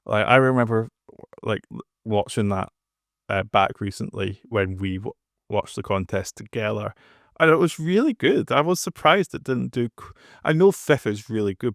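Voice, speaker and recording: A man's voice: medium pitch, creaky, no room sound, studio quality. A man hosting a podcast, chatting casually and sharing his opinions. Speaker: podcast host.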